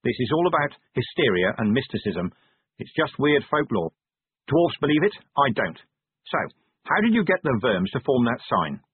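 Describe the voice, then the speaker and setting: Man as husky, weary police commander, phone-like sound. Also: medium pitch, sing-song, normal speed, no room sound. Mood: weary, sceptical. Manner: blunt, impatient, sardonic. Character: gruff, weary, sceptical male police commander. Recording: narrow-band, phone-like audio.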